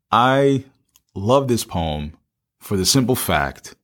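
A man's voice: lowish voice, lively intonation, very slow, fairly bright tone. A man co-hosting a podcast, speaking conversationally to listeners and repeating phrases for emphasis. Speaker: podcast co-host.

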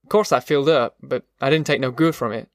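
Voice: medium pitch, quick pace, no echo, studio quality, natural voice. A narrator voicing a worn-down, proud farm father who grumbles sarcastically in rustic dialect for an audiobook. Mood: resigned. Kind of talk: audiobook character voice.

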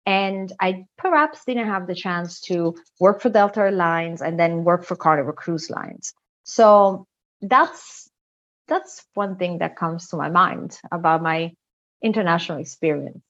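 A woman's voice: on the low side, a little slow. A woman from Italy with an Italian accent, talking conversationally as an interview guest and telling stories. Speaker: guest, international recruitment director. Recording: slightly dull, lo-fi sound.

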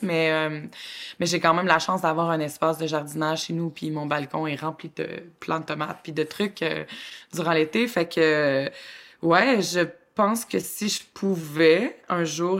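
A woman with a Québécois accent co-hosting a podcast, chatting in a lively, expressive, colloquial way and laughing often. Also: fairly low pitch. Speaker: podcast co-host. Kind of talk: casual chat.

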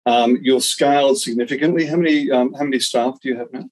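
A man's voice: medium pitch, quick pace, low-quality recording. A man hosting a business podcast, asking questions hesitantly with many ums. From Australia. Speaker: podcast host. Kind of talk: interview questions.

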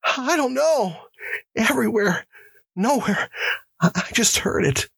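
A man voicing a terrified male prisoner who pleads and stammers in a high, strained voice. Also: expressive, slow pace, creaky, a bit bright, slightly rough, no room sound, heavily acted.

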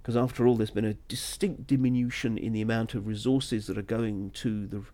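A man's voice: lowish voice, fairly even intonation, brisk, warm tone. A man talking through political analysis as a solo podcast host, conversational and discursive, with asides and self-corrections.

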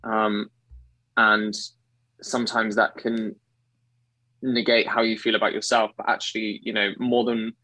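A man in his thirties from England with a baritone voice, a guest talking earnestly about his training, often correcting himself mid-sentence. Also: level tone, unhurried, pauses often, sharp tone, no echo. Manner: earnest, self-correcting, effusive. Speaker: guest, actor and singer. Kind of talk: conversational interview.

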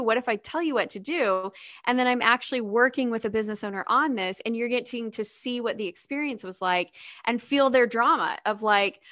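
Chatty higher-voiced woman podcaster. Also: fairly bright tone, no echo, studio quality. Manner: storytelling with acted-out inner quotes, many "like"s. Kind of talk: casual podcast chat.

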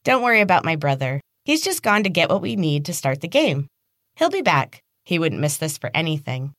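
A woman voicing a little girl in a storybook, a shrill, gushing, childish put-on that hides something evil. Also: very animated, fairly quick, fairly bright tone, no echo, studio quality. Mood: sweetly sinister. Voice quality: shrill, high-pitched whine. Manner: over-the-top childish enthusiasm. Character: evil being posing as a bubbly little girl.

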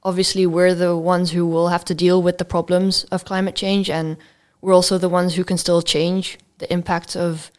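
A woman's voice: on the low side, level tone, moderate pace. A fifteen-year-old girl from Belgium, answering questions as a student guest in an interview.

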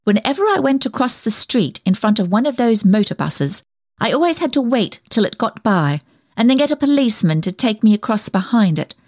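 A woman's voice: on the high side, very animated, a little fast, darkish tone, dry recording, studio quality. A woman playing a gossipy, self-righteous village dressmaker in a comic monologue, chatty, nosy, opinionated and tactless as she rambles from one thing to the next. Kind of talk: comic dramatic monologue, audio storytelling.

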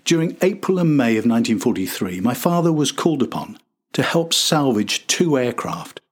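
Older man narrating, slightly husky. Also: medium pitch, big pitch swings, moderate pace. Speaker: podcast host and narrator, former journalist. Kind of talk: scripted documentary narration.

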